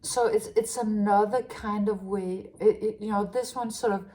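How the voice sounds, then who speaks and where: A woman from Denmark talks her way through a spiritual tarot reading. Her voice sits at a middle pitch, and she muses out loud, restarting her phrases and stumbling through fillers as she goes. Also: fairly even intonation. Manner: halting, many restarts and fillers, musing. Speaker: tarot reader.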